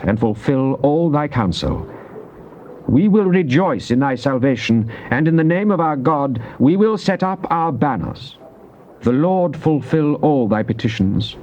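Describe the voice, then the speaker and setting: An actor playing a devout psalmist king, reciting scripture in a solemn, declamatory, deliberate way. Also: mid-range voice, a little mellow. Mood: solemn, exalted. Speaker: actor reading Psalms in a dramatized Bible recording. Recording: muffled old cassette tape with faint noise.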